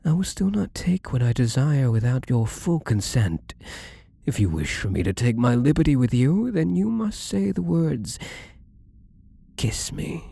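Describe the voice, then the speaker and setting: Possessive rich gambler in love, played by a man. Intimate ASMR roleplay, dark mellow tone, expressive swings in pitch, commanding and adoring. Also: no echo, exaggerated voice. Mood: seductive, coaxing. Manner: breathy, slow, intimate, often dropping to a whisper.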